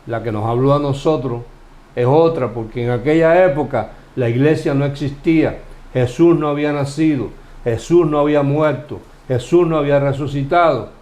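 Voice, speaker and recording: A man's voice: mid-range voice, moderate pace, darkish tone. Cuban man teaching scripture conversationally. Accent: Cuban Spanish. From Cuba. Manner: questioning, explanatory, frequent restarts. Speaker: Bible teacher. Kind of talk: conversational Bible study.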